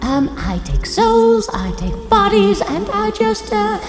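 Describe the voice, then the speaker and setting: A woman's voice: very high voice, very animated, slow pace, vocal fry, full character voice. A woman voicing a coy, gossipy, lonely Medusa in improvised tabletop roleplay, with a theatrical, hesitant, giggly manner. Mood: nervous, needy. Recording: echoey hall, music underneath.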